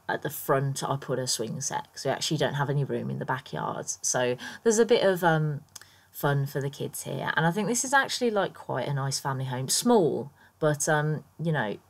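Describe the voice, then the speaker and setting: A woman with a croaky morning voice, chatting through her game build in a rambling, casual voiceover full of ums and small laughs. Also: a bass voice. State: croaky, just woken up. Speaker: Sims builder YouTuber.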